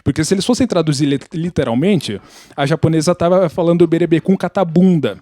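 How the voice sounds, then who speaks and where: A man's voice: on the high side, fairly quick, mellow, dry recording, clean studio sound. A Brazilian man in casual podcast talk, explaining things in an opinionated way. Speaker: podcast participant.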